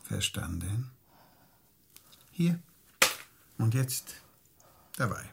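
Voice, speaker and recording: An actor playing a cold, contemptuous KGB enforcer in a radio drama, husky and gravelly, issuing clipped commands. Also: male voice, medium pitch, very animated, darkish tone, slight background noise, heavily acted. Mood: cold menace. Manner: slow, commanding, clipped threats.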